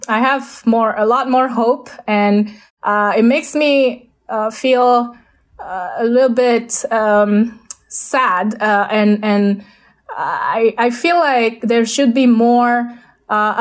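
Woman, Indonesian accent, video call, slow halting delivery, slightly high voice. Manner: hesitant, many fillers and restarts. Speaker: guest, space architect.

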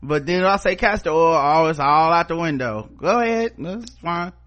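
A man's voice: on the high side, animated, moderate pace, fairly bright tone, lo-fi sound. A man hosting a podcast, bantering casually and teasing his co-host with plenty of swearing.

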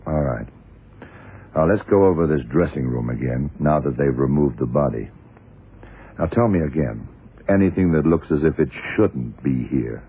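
A shrewd police detective in a vintage radio thriller. His voice is very deep and a little rough, and he probes deliberately, step by step. The sound is tinny and narrow, like an old broadcast. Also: lively intonation, full character voice. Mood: probing, methodical. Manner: deliberate, probing, clipped questioning. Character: shrewd, methodical male police detective in charge. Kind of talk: 1960s-70s radio drama acting.

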